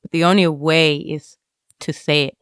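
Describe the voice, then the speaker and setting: A woman's voice: deep voice, fairly even intonation, normal speed, a bit bright, clear, resonant tone, no room sound, studio quality. A woman speaking as a guest in a candid personal interview, reflective and often searching for words as she goes. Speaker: interview guest, student writer.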